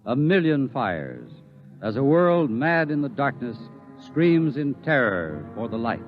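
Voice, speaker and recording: A man's voice: medium pitch, sing-song, a little slow, faint music behind. A man narrating a 1950s radio drama in a grave, theatrical way. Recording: dull lo-fi vintage radio broadcast.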